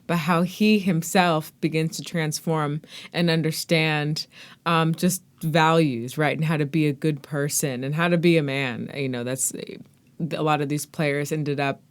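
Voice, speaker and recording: Very low-pitched woman hosting a podcast interview.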